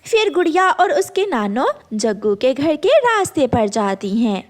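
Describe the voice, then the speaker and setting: A woman's voice: sits high, big pitch swings, measured pace. A woman reading scripted narration for a children's animal story.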